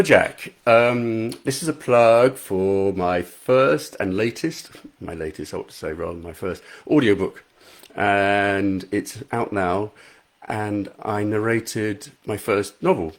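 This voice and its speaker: A man with a soothing, fairly low voice chats casually to viewers on a live stream. He answers their comments with a self-deprecating warmth of manner, speaking into a good microphone in a small, untreated room at home. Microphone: high quality microphone.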